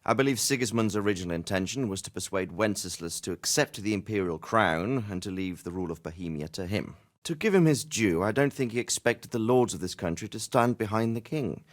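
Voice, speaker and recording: A man playing a middle-aged medieval Bohemian lord in a video game, formal, authoritative and fatherly, speaking in measured phrases. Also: lowish voice, expressive, natural voice. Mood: grave, explanatory. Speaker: nobleman and military leader. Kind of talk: video game dramatic dialogue.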